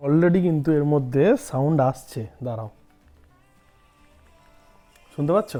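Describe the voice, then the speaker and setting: A man's voice: fairly high pitch, measured pace, dark tone, no echo, faint music behind. A man giving a casual product review as a vlogger, explaining things straight to viewers and mixing in English words. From India. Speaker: vlogger, host.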